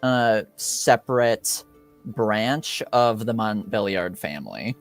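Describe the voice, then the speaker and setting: A man running a tabletop game as game master, improvising narration in a halting way as he searches for words. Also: fairly low pitch, animated, soft background music.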